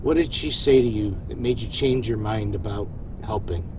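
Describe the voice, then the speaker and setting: A man's voice: on the low side, normal speed, background noise, close to an everyday voice. A man playing a supportive, self-deprecating starship captain in an audio drama, earnest and folksy. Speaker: voice actor.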